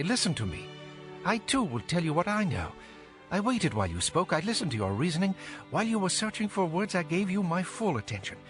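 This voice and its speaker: A man's voice: a little high, fairly bright tone. An actor playing an angry, impassioned young man lecturing his elders emphatically in a dramatized audio Bible. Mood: respectful but indignant. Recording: music behind.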